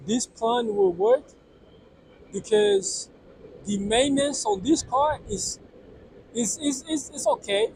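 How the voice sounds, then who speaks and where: A man's voice: high voice, a little hiss or hum, poor audio. A man in his twenties vlogging casually from his parked car, rambling with lots of fillers and restarts. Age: 20s. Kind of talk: casual vlog monologue. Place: parked car in a parking lot.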